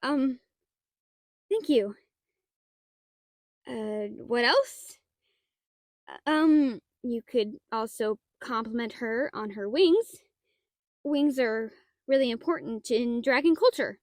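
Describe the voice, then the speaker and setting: A woman's voice: fairly high pitch, slow pace, pauses often, dry recording, clean studio sound. A woman playing a shy, nerdy half-dragon librarian in a roleplay audio drama, talking in a hesitant, flustered, stammering way. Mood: bashful. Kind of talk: roleplay audio drama, one-sided dialogue.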